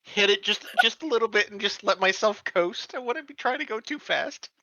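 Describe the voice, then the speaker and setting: A woman's voice: medium pitch, expressive, normal speed, sharp tone, no echo, dull audio. A woman playing a tabletop roleplaying game, chatting casually with the group. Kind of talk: casual chat.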